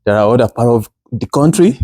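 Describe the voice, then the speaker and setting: A man's voice: mid-range voice, expressive, brisk, dark tone, no room sound, clean studio sound. A man from Nigeria in his thirties, a guest telling his story in a casual interview, hesitant with many fillers and restarts.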